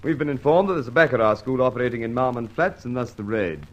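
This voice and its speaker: Man acting a police inspector, medium pitch, brisk, dry, official, dull old radio sound. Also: close to an everyday voice. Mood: businesslike. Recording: dull vintage radio recording.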